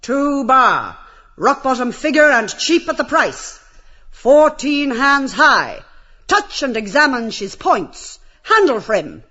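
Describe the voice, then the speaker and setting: A man's voice: very high voice, very animated, takes it slowly, sharp tone, lo-fi sound, voice pushed up, heavily acted. A man voicing a cruel, jeering brothel boss who barks and taunts like an auctioneer in a theatrical audiobook. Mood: brash hawking. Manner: taunting, bullying, theatrical barking. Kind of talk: theatrical audiobook character reading.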